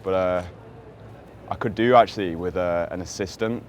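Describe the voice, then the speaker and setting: A man's voice: sits low, moderate pace, slight background noise. A man doing a cheeky street interview outdoors, teasing and joking with a playful manner.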